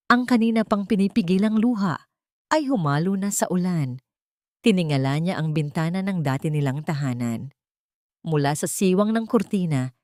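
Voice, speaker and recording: A woman's voice: low voice, a little mellow, no echo, clean studio sound. A woman reading a scripted, dramatic story as an audiobook narrator.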